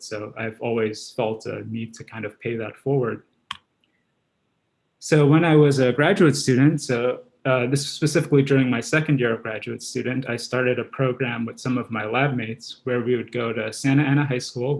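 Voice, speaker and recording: Male researcher, thirties, for a science seminar talk; medium-pitched voice with plenty of fry. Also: low-quality recording. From Guyana and Trinidad. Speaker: academic researcher, job candidate giving a seminar. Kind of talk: academic seminar presentation.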